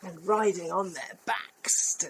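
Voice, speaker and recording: A woman reads a picture book aloud to young children at an unhurried pace. Her voice sits in a middle pitch and she stops to chat about the pictures. Faint background noise is heard. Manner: slow, expressive storybook reading with asides. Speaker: volunteer story reader.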